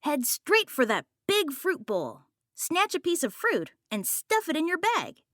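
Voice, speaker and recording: A confident boy mouse, read by a woman, the bossy leader in a picture book. The delivery is instructing, with big swings in pitch and an unhurried pace. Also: wildly swooping pitch, fairly bright tone, dry recording, studio quality, exaggerated voice.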